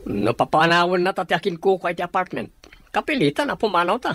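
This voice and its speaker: A man's voice: high-pitched, very animated, a little fast, fairly bright tone, no echo, heavily acted. A man with a Filipino accent playing an agitated, selfish lover in a radio drama, hasty and whining. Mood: exasperated.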